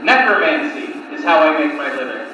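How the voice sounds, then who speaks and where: A man performing a live stand-up comedy set of one-liners with deliberate pauses, recorded on cassette tape. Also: on the high side, very animated. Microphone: recorded from a distance on cassette recorder. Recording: noisy cassette tape, echoey room, distant mic.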